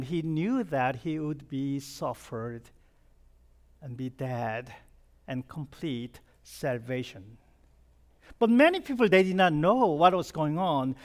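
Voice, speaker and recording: Man, Korean accent, middle-aged pastor, somewhat high voice, conversational sermon, jokes with the congregation. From South Korea. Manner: chatty and humorous, asks the congregation questions.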